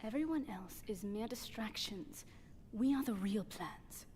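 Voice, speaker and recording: An actress playing a cool, scheming aristocratic witch wife in a fantasy audio drama, measured and poised. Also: mid-range voice, animated, darkish tone, slight background noise, lightly acted. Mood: guarded.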